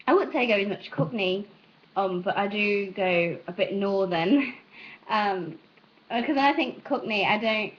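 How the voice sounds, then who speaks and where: Rambling young American woman putting on British accent. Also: medium pitch. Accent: British, put on by an American. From Southern United States. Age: young adult. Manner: hesitant, self-interrupting, meandering. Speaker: amateur vlogger. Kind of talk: casual rambling chat to camera. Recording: dull, lo-fi sound.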